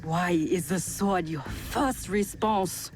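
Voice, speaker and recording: A woman's voice: fairly low pitch, slow, soft background music. An actress playing a blunt, sceptical female war veteran in a video game drama, with a wry, reproachful manner.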